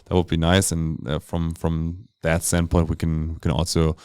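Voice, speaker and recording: Low, smooth-voiced man answering haltingly. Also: completely flat delivery, darkish tone, no room sound. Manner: hesitant, halting, repeats words. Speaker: startup founder, podcast guest. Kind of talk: casual interview answers.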